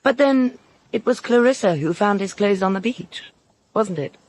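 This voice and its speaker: A woman acting in a radio drama as a bitter servant, blunt, pointed and quietly menacing. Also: mid-range voice, lively intonation, normal speed, natural voice.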